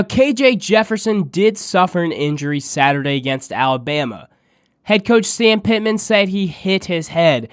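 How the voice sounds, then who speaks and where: A man with a congested, nasal voice while under the weather, hosting a podcast and giving a steady, stat-heavy sports preview. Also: on the high side, dry recording, studio quality. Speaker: podcast host.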